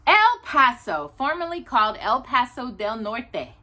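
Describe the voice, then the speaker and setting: Bright, lilting woman reading aloud. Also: fairly high pitch, sing-song, measured pace. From Texas, United States. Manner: conversational, curious, musing aloud. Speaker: host of a storytime read-aloud show. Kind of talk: reading a children's picture book aloud with chatty asides.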